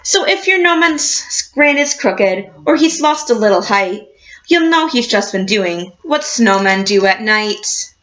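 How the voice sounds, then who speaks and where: A woman reading a picture book aloud like a teacher, expressive and encouraging, addressing young children. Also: on the high side, unhurried, highs cut off. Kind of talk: storybook read-aloud with questions to a class.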